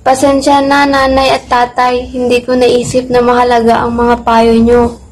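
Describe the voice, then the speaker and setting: A woman's voice: a little high, completely flat delivery, moderate pace, lightly acted, poor audio. A woman voicing a stubborn, playful young boy in a puppet show, with noise behind. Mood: apologetic. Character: stubborn, playful young boy who defies his parents. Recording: clear background noise.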